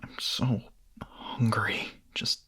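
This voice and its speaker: A man playing a hungry, nervous, lovestruck young vampire in an ASMR roleplay, speaking in a breathy, husky, hesitant whisper. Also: sharp tone. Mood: furtive, yearning. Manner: hesitant, halting, confessional.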